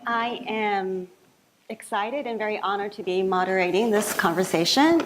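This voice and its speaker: A woman's voice: medium pitch, normal speed, background noise. A woman moderating an onstage interview in an informal, friendly way with some fillers. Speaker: moderator, reporter. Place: meeting hall.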